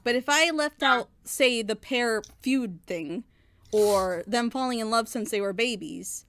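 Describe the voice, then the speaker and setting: High-voiced woman giving opinionated critique. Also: expressive, a little slow, a bit bright. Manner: emphatic, rambling explanations with rhetorical questions. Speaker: reviewer on a reaction show.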